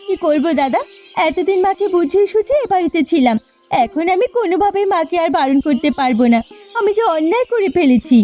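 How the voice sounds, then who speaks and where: Woman doing a young cartoon sister-in-law, really high and soft-toned, pleading, earnest. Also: expressive, fairly quick. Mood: resigned, sad. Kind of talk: cartoon voice acting. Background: faint music.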